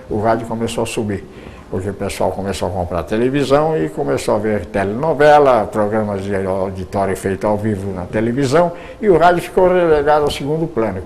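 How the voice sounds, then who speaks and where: An older man, a retired radio producer, reminiscing in an interview, with halting speech full of repeated words and restarts. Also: medium pitch, a little mellow.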